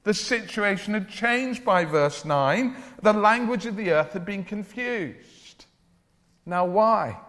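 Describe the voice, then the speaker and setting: A man preaching a church sermon in an earnest, deliberate way, with declarative phrasing. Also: high voice, animated, a bit bright. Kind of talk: evangelical church sermon with Bible reading and prayer. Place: large church or hall, speaking to a congregation. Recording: reverberant hall, long echo.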